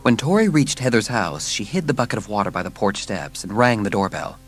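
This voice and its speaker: A man's voice: on the low side, animated, brisk. A man narrating a children's story as scripted, dramatised audiobook narration. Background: music.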